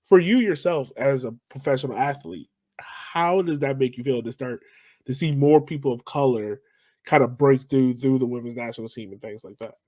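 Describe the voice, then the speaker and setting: A man's voice: medium pitch, moderate pace, darkish tone, dry recording. A man hosting a sports podcast, asking questions in a casual, conversational style. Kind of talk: casual interview.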